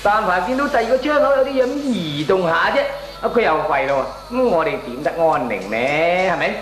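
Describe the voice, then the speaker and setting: An actor playing a blustering but reluctant neighbour in a radio comedy, rambling and exclaiming in colloquial Hong Kong Cantonese. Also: male voice, high-pitched, lively intonation, fairly quick, reverberant, faint music behind, low-quality recording. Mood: grumbling, animated. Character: blustering, reluctant male neighbour, all talk.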